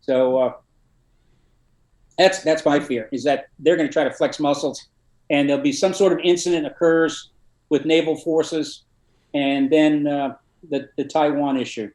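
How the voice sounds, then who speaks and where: An older man, a retired army colonel, telling war stories in a dry, matter-of-fact way with many pauses and fillers. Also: fairly high pitch, fairly even intonation, darkish tone, reverberant, rough recording. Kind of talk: casual interview storytelling.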